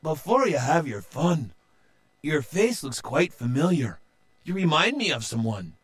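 A man's voice: fairly high pitch, very animated, a little slow, voice pushed up. A man voicing a boastful, bossy male pirate captain in a storybook reading, roaring his orders. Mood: pleading.

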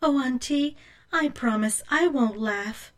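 A woman's voice: high voice, takes it slowly, voice pushed up. A woman voicing a meek, obedient young girl in a storybook, polite and eager to please. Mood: earnest.

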